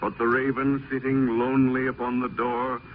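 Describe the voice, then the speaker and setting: A man's voice: medium pitch, little pitch movement, moderate pace. A man narrating as a dramatic reciter of poetry in old-time radio style, solemn and declamatory. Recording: narrow, noisy vintage radio recording.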